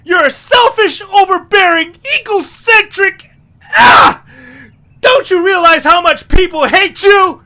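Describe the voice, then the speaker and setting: A man's voice: very high-pitched, takes it slowly, pushed and bright, pitched above the performer's own voice, full character voice. A man voicing an indignant, earnest young male creature in an amateur audio drama, with strident, exasperated delivery. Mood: furious.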